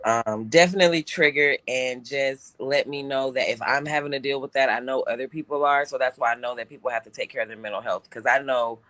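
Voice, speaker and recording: A man's voice: medium pitch, a little fast, few pauses, a bit bright, no room sound. A man co-hosting a casual talk show, telling a personal story with lots of restarts and fillers. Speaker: show co-host. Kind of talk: casual podcast chat.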